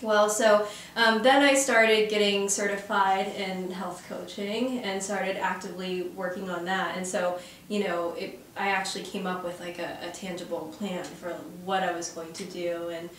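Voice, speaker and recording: A chatty young woman from Arizona talks through an interview, often pausing on fillers. Her voice is heard from some distance away from the mic. It bounces around a very reverberant office, with faint noise underneath. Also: mid-range voice, fairly even intonation, fairly bright tone. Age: young adult. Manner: chatty, lots of fillers, laughs easily. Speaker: guest. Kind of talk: casual interview conversation. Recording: very echoey office from far off.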